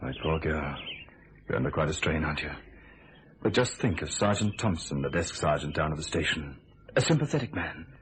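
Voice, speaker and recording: An actor playing an anxious secret lover in a radio thriller, earnest, soothing and evasive. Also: male voice, extremely low pitch, expressive, a little fast, slight background noise. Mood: hushed, placating. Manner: earnest, placating, dramatic.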